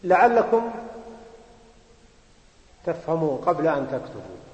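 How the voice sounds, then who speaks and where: High-voiced man teaching grammar in a hall. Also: very animated, a little slow. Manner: explains step by step, repeats key phrases, quizzes students. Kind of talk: classroom lecture on Arabic grammar. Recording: roomy hall, slightly muffled.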